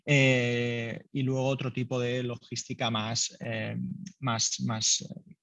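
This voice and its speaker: A man's voice: mid-range voice, completely flat delivery, takes it slowly, a bit bright, no room sound. A man, a startup founder answering interview questions in a loose, conversational way with lots of fillers. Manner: loose and conversational, many fillers, laughs lightly.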